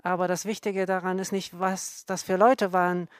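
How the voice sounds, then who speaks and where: A woman with a fairly low voice explains scripture in an earnest, measured way. She speaks slowly and stops often to correct herself. The sound is close and dry, with no room around it. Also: level tone, smooth, no echo. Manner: slow, careful, with frequent self-corrections.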